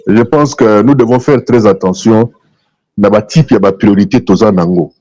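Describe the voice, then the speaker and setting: A man's voice: lowish voice, mellow, lo-fi sound. A man from DR Congo with a Congolese accent, giving opinionated political views as a talk show guest, emphatic and repeating his phrases. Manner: emphatic, repeats phrases, switches between French and Lingala.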